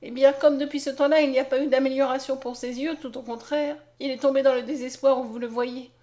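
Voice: sits high, flat delivery, a little fast, darkish tone, voice pushed up, lightly acted. A woman narrator voicing a pleading, anguished young peasant girl with imploring exclamations in a storybook reading. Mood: tearful earnest.

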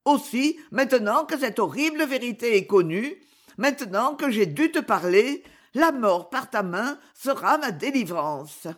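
A woman's voice: on the high side, lively intonation, a little slow, voice pushed up. Lady reading doing a young noblewoman begging and trembling, all passion, voice jumping around a lot. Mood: ashamed despair. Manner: impassioned, pleading, trembling. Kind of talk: audiobook dialogue reading.

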